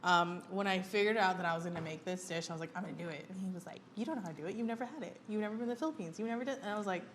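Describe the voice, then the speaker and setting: A young woman hosting a casual chat over a meal on a lapel mic, telling stories in a chatty, animated way and laughing often. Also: mid-range voice, very fast, a little hiss or hum. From United States. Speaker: host. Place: indoor dining table set with food.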